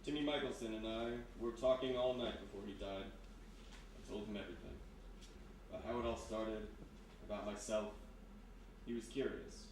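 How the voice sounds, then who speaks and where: Sinister male hypnotist played by a man for radio mystery: lowish, calmly menacing, polite, deliberate; roomy, distant stage recording. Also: close to an everyday voice. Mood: calm, confiding. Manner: measured, insinuating, polite, deliberate. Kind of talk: live 1940s-style radio mystery acting. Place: live performance in a historic house room. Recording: roomy distant live recording, background noise.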